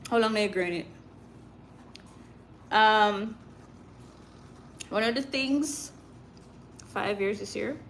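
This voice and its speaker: A woman's voice: mid-range voice, takes it slowly, long pauses, a bit bright. A woman with a Jamaican accent hosting a casual livestream, chatting informally with viewers and drifting into Patois. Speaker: livestream host. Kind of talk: casual live chat with viewers. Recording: clear background noise.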